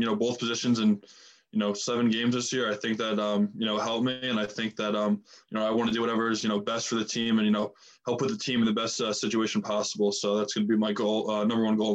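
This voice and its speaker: A young man, a rookie football lineman, answering reporters in a flat delivery that leans heavily on filler phrases. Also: fairly low pitch, quick pace, dull audio. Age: young adult. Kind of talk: press conference answers.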